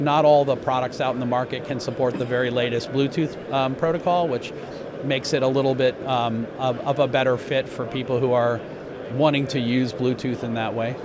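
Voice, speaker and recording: A man speaks at a middling pitch over clear background noise. He sounds like an executive in an interview, laying out product details in a measured, explanatory way and pausing mid-phrase. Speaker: company president being interviewed.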